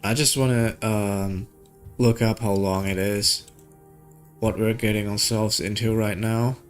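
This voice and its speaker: A man's voice: lowish voice, fairly even intonation, measured pace, faint music behind. A man talking casually as a game streamer, thinking aloud in informal, slangy language. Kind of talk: casual gaming stream chat.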